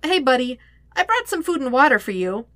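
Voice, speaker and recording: A woman's voice: high voice, big pitch swings, brisk, a bit bright, no echo, studio quality, voice pushed up. Casting: woman to play a kind but flustered teen boy for story narration, slangy and hesitant, with swooping intonation. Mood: nervous, friendly. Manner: rambling, hesitant, casual slangy talk. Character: flustered, rambling, awkward teenage boy, sarcastic and kind.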